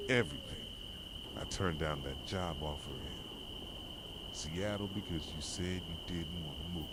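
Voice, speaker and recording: Guy doing a possessive hurt yandere boyfriend, really deep soft voice, intense asmr pleading, lots of cricket and owl noise behind. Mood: pleading, desperate. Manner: intense, pleading, dramatic monologue. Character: obsessive, possessive young yandere boyfriend, wounded and betrayed. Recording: noisy track with night crickets and owls. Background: night ambience of crickets, owls and insects.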